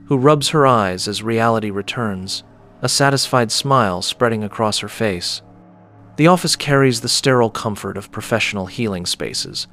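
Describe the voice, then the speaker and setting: A man narrating a scripted audio story in a measured, descriptive manner. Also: lowish voice, fairly bright tone.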